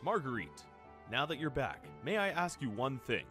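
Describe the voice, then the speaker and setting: A man's voice: medium pitch, big pitch swings, normal speed, over music, close to an everyday voice. A man playing a severe, formal officer who scolds, in a radio drama. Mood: stern, reproving. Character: stern, scolding male officer. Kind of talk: fan radio drama acting.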